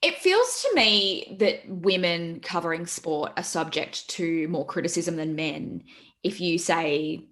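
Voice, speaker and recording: A woman hosting a casual interview podcast, asking encouraging, quick follow-up questions. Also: lowish voice, lively intonation, fairly bright tone. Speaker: podcast host.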